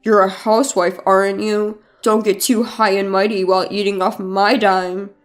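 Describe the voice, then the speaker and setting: Woman reading as a bossy, condescending husband, slightly lowered. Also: level tone, normal speed, faint music behind, natural voice. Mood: scornful. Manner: bossy, lecturing. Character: domineering, demanding, condescending husband.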